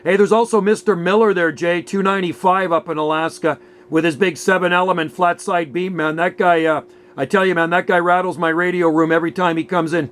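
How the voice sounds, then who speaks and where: A man's voice: high-pitched, fairly even intonation, brisk, a bit bright, slight background noise. A man chatting casually as a radio operator, chummy and slangy. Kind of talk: casual radio contact chat.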